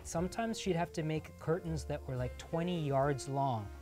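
A man's voice: on the high side, moderate pace. A man in his thirties hosting a casual on-camera visit to a workshop, explaining things with enthusiasm and emphasis. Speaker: host. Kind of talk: casual on-camera interview and storytelling. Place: indoor upholstery workshop. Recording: music behind the voice.

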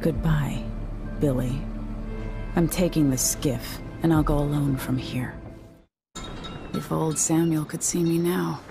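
A woman's voice: deep voice, fairly even intonation, takes it slowly, vocal fry, pauses often, full character voice. A woman playing a wronged, determined young empress in a video game, speaking in a firm, controlled, solemn way. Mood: grave, bitter. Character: resolute, wronged young empress seeking justice. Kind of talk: dramatic video game acting. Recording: music under the voice.

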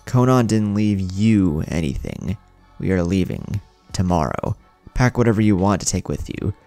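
A weary young woman ninja in an audio story, read by a man. She is terse and protective, in a low gravelly voice over music. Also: sing-song, normal speed, mellow, no room sound, full character voice. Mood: firm.

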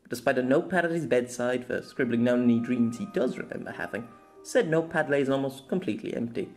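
A male narrator reads a fantasy story aloud in a mid-pitched voice. The delivery is scripted storytelling, with music playing behind it. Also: lively intonation, fast talker, creaky.

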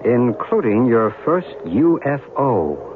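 An actor playing an earnest, insistent UFO believer in a 1970s radio drama, measured and formal. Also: male voice, mid-range voice, very animated. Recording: noisy, tinny 1970s radio broadcast.

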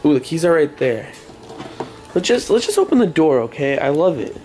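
A man's voice: a little high, very animated, normal speed, darkish tone, faint music behind. A young adult American man chatting casually as a vlogger, with youthful, slangy talk. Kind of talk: casual vlog chat. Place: inside a family house. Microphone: handheld camera at arm's length. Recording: clear background noise, handheld vlog camera.

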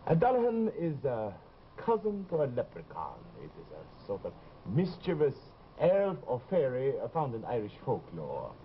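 A man's voice: mid-range voice, wildly swooping pitch, normal speed. Formal middle-aged male doctor, hesitant, lilting delivery, 1950s TV drama, noisy soundtrack. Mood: grave, hesitant. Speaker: actor. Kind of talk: 1950s live television drama acting. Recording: dull, noisy old TV soundtrack.

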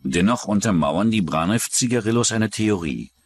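A man's voice: on the low side, lively intonation, moderate pace, husky, faint music behind. A man narrating a true-crime documentary in a dramatic scripted style.

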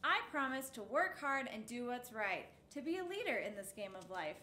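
A woman in her thirties reading a picture book aloud for children's storytime, phrase by deliberate phrase, in a roomy indoor space. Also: on the high side, big pitch swings, bright, forceful tone. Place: indoor set with backdrop, seated. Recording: roomy echo, faint background noise.

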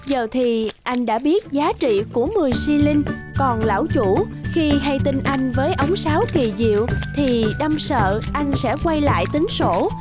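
High-voiced woman narrating a fairy tale. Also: animated, unhurried. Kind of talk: scripted storybook narration. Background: prominent music.

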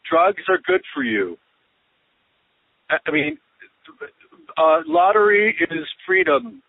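A man's voice: high voice, huge pitch swings, slow, gravelly, slightly rough, no room sound. American guy ranting sarcastically on a casual call, dry muffled lo-fi sound, kinda congested and winded. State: congested with a cough, short of breath. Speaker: podcast host. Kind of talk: casual phone chat between friends. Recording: muffled lo-fi phone-call audio.

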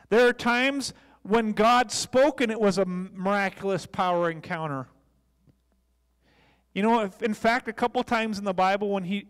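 A man's voice: sits high, smooth. A middle-aged American man preaching a sermon as a pastor, speaking into a handheld microphone on a church stage. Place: church stage, large indoor room. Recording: long echoey hall reverb.

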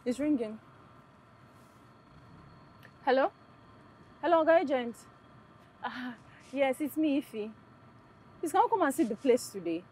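A woman's voice: sits high, animated, unhurried, pauses often, darkish tone, slightly rough, heavily acted. A young woman with a Nigerian accent playing a polite, easygoing, placating character in a naturalistic film drama.

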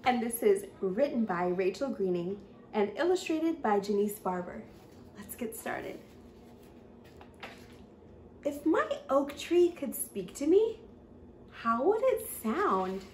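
Casting: young woman, children's storyteller, very lively intonation, unhurried sing-song delivery, roomy sound. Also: mid-range voice. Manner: animated, sing-song, drawn-out emphasis on words. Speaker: children's read-aloud host. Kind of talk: expressive picture-book reading for children. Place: small indoor room with bookshelf. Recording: roomy echo, faint background noise.

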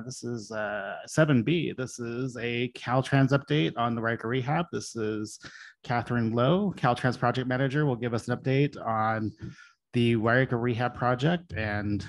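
Relaxed man chairing a council meeting. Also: medium pitch. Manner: relaxed, chatty, laughs easily. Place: city council chamber.

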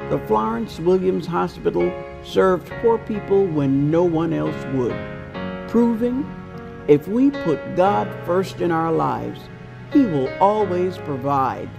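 Older woman, reverent documentary narration, gravelly tone, music bed. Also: fairly low pitch. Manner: measured, reverent storytelling. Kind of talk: scripted narration. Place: indoors before dark shutters.

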